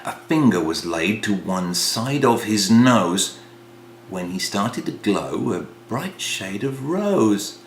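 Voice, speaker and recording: Slow, theatrical man reciting verse, roomy echo. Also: on the low side, sing-song. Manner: dramatic, hushed passages rising to exclamations. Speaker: reader of a comic poem. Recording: roomy echo, faint hum.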